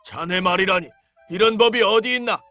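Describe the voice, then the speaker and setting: A performer voicing a patient, kindly king in disguise in a children's storybook drama, speaking in a calm, dignified, old-fashioned manner. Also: mid-range voice, normal speed, close to an everyday voice. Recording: soft background music.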